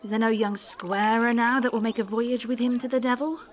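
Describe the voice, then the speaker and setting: A woman's voice: a little high, steady tone, brisk, lightly acted. A woman playing a witty, scornful young noblewoman in a Shakespearean radio play. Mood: teasing scorn. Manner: witty, scornful, teasing delivery with laughter. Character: sharp-tongued, mocking, spirited young noblewoman.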